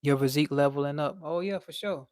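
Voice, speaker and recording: A man's voice: a little high, quick pace, darkish tone, no room sound, studio quality. A young man with a light voice, chatting casually on a livestream and reading out chat in a laid-back, slangy way.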